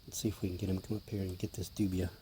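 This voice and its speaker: Casual male hobby vlogger, hoarse, mellow low tone, offhand delivery, faint background hiss. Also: little pitch movement, rapid-fire. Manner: chatty, offhand, drops to a hush while watching. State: hoarse, clearing his throat. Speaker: tarantula keeper filming feedings. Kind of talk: casual hobby vlog narration.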